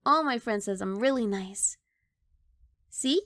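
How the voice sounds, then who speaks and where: A woman voicing a kindly young giant dragon girl in an ASMR roleplay, speaking in a soft, coaxing, reassuring and slightly bashful way. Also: on the high side, lively intonation, takes it slowly, no echo, heavily acted. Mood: reassuring, gentle.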